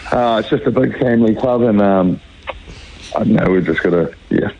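Croaky-voiced Kiwi rugby player on the phone. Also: male voice, lowish voice, darkish tone, a little hiss or hum. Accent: New Zealand. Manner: halting, many fillers. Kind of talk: radio phone interview. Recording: muffled phone line.

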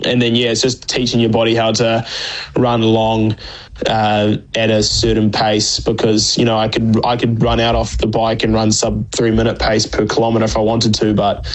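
A man, an endurance athlete, talking casually about training as a radio guest, in a laid-back, halting way. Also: fairly low pitch, completely flat delivery, rough recording. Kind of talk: casual interview chat.

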